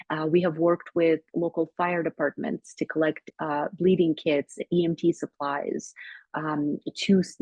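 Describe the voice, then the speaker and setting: A woman from Ukraine with a Ukrainian accent, speaking earnestly as a panelist in a webinar, pausing often on fillers. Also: deep voice, a little mellow, slightly rough, dry recording. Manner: earnest, measured, frequent fillers. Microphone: video call. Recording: dull lo-fi video call audio.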